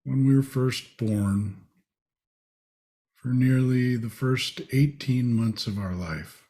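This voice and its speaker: A man leading a guided meditation, speaking in short, spacious phrases with long pauses. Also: mid-range voice, warm tone.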